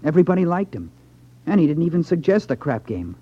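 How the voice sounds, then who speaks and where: An actor playing a nervous, sheepish young playboy in a 1950s radio crime drama, earnest and defensive in manner. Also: male voice, fairly high pitch, big pitch swings, fast talker. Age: young adult. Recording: dull lo-fi 1950s radio recording.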